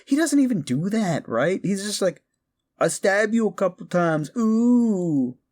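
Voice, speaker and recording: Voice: medium pitch, big pitch swings, measured pace, a little mellow. A performer of unclear sex playing a mischievous, exasperated modern necromancer in a comedic audio roleplay, rambling casually and swearing with incredulity. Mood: scornful exasperation. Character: mischievous, exasperated necromancer prankster, gleefully amoral.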